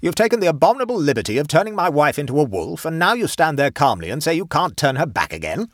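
A man's voice: on the high side, very animated, fast, no room sound, clean studio sound, voice pushed up. A man voicing a blustering, indignant colonel in a storybook, thundering out his demands. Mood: outraged. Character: blustering, indignant English colonel, an outraged husband.

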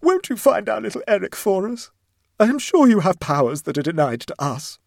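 A man voicing a distraught young mother in a storybook reading, shrill, tearful and indignant, wailing and sobbing as the words come out. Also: very animated, normal speed, dry recording, studio quality, exaggerated voice. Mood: sobbing, pleading. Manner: sobbing, high-pressure wailing.

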